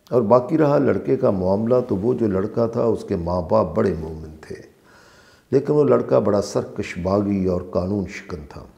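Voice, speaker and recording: Male narrator for scripture translation: dark, soft-edged tone with noticeable fry, deliberate and emphatic phrasing. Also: lowish voice. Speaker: translator and reciter of a Quran translation. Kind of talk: scripted religious narration, read aloud.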